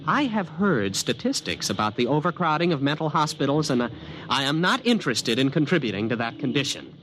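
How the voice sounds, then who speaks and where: A flustered young college man in a fifties radio play. He is wry and stammering, with a fairly high, very animated voice, on a noisy old recording. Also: fairly quick. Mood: exasperated. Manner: wisecracking, stammering, exasperated. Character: flustered, sarcastic young male physics student in New York. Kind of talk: 1950s radio drama acting.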